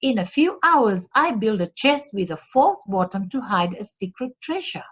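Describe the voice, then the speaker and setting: A woman's voice: medium pitch, big pitch swings, normal speed, husky. A woman voicing a proud, obliging male carpenter in a storybook, on a slightly dull recording. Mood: eager. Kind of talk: storybook character voice.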